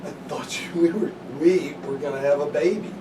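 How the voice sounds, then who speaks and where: A matter-of-fact middle-aged man with a slightly raised pitch, telling a story plainly. He is recorded far off in an echoey room with clear noise. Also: moderate pace.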